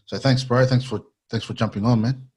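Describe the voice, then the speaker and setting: A man hosting a podcast, asking questions in a friendly, relaxed way with casual slang. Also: medium pitch, a little fast, husky. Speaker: podcast host.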